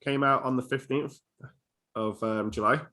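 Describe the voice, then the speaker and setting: A man hosting a music podcast in casual chat, with a halting, hesitant but enthusiastic delivery. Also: mid-range voice, fairly even intonation, pushed and bright.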